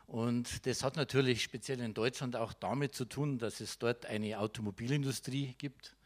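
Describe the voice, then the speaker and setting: A man's voice: mid-range voice, steady tone, moderate pace. A middle-aged man with a Bavarian-Tyrolean accent, a panel guest speaking emphatically into a handheld mic in a conference hall. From Tyrol, Austria.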